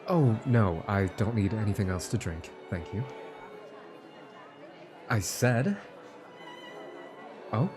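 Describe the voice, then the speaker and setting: Man playing a haughty, slow-speaking scholar, swooping pitch. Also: lively intonation. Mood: curt, dismissive. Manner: boastful, dismissive. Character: smug, haughty male scholar at a fantasy gala. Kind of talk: fantasy audio roleplay. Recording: music playing behind the voice.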